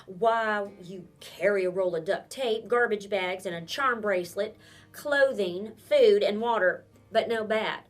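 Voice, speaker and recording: A woman's voice: medium pitch, measured pace, close to an everyday voice. A woman voicing a blunt, suspicious one-legged woman. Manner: sharp, probing, demanding questions. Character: wary, blunt middle-aged woman with a wooden leg. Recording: distant mic with faint instrumental music.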